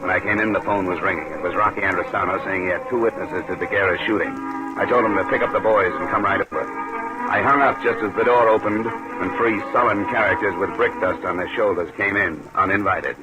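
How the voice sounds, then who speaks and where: A man's voice: deep voice, fairly even intonation, brisk, loud music behind the voice, natural voice. An American man playing a tough, sardonic insurance investigator who talks and narrates in clipped 1950s radio detective style. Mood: dry narration. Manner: sardonic, hard-boiled, clipped. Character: tough, wisecracking male freelance insurance investigator, dogged and scornful. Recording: noisy old radio recording with hiss.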